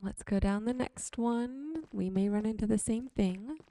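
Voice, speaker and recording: A woman giving ASMR-style gameplay commentary, musing to herself in an unhurried way. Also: a little high, dark tone. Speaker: game streamer hosting a relaxation video.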